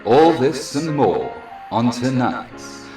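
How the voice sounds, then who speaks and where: A man reading a scripted trailer for the show in a measured promo delivery. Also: medium pitch, animated, warm tone. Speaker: announcer. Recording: roomy announcer voice over music. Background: music.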